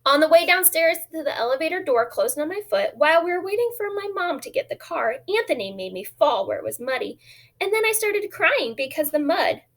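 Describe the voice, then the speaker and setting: Woman reading as a grumpy, high-voiced little boy. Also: big pitch swings, fairly quick, fairly bright tone, full character voice. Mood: grumbling. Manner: complaining, emphatic, dramatic. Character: grumpy, aggrieved little boy telling his bad day.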